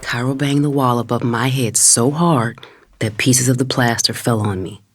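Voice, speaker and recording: A sassy, indignant matriarch telling her own story in an audio drama. She narrates colloquially and dramatically, with music clearly playing underneath. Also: very deep voice, moderate pace. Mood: tense. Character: indignant wife and mother narrating her family feud.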